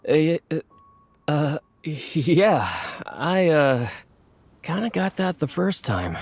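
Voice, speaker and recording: A man's voice: a little high, very animated, slow pace, a little mellow, husky, exaggerated voice. A man playing a polite, eager book editor in a video game, with formal, flowery speech. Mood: deferential, flowery. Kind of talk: video game character acting.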